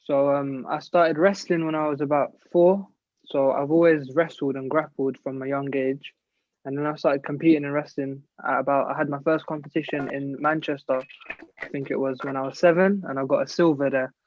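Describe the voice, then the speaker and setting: A man's voice: on the high side, fairly even intonation, moderate pace, no echo. A young man, talking casually as an interview guest on an internet call. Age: 17, late teens. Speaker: guest, young martial artist. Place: video call. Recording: slightly dull internet call audio.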